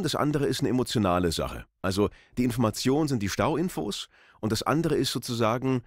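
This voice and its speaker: A man's voice: mid-range voice, dry recording. A man, a voice artist giving an explanatory tutorial into a close studio microphone. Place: small voice-over studio booth. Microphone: close studio microphone on a boom arm.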